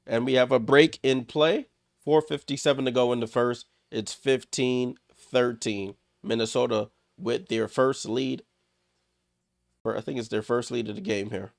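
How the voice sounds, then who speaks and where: A man's voice: mid-range voice, dry recording, studio quality. A man hosting a live watchalong stream, calling the game in short clipped play-by-play bursts and chatting with viewers, a little under the weather and congested. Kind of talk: casual live play-by-play commentary.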